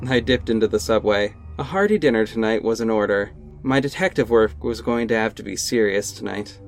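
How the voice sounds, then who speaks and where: A man's voice: lowish voice, brisk, natural voice. A man playing a young amateur detective who narrates his story in the first person, casual and wry, a little hesitant. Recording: music bed underneath.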